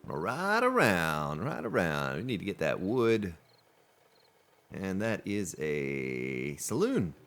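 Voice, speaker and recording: Lively low-voiced gaming commentator. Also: male voice, slow. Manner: chatty, jokes at game characters. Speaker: let's play host. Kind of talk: casual gameplay commentary.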